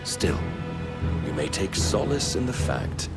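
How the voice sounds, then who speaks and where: An actor playing an imperious, zealous king in a video game, with measured, archaic, sermonizing delivery and serene menace. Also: deep voice, big pitch swings, creaky, over loud music, heavily acted. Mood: solemn disdain. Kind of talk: dramatic video game cutscene acting.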